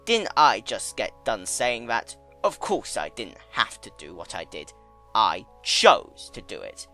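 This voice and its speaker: A man performs an ancient male chaos creature from a dramatic fan-fiction reading. He is smug yet weary and tender, speaking slowly and emphatically with big swings of pitch. Music plays behind the bright, forceful voice. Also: wildly swooping pitch, exaggerated voice. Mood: exasperated.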